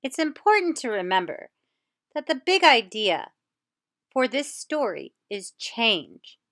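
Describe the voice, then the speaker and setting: A woman teacher presenting a step-by-step lesson for children, with deliberate, encouraging delivery. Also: a little high, big pitch swings, fairly bright tone. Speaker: teacher presenting an educational show.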